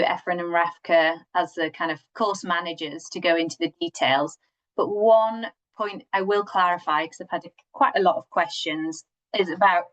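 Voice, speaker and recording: A woman's voice: lowish voice, dull audio. A woman hosting an online information session, explaining details in an organised way and directing questions to her colleagues over a video call. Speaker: session host.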